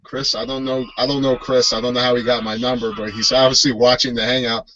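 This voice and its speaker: Upbeat man in his thirties, bright tone. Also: mid-range voice, flat delivery, quick pace, dull audio. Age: 30s. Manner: friendly, upbeat host. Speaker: host. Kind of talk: casual chat on a live video call.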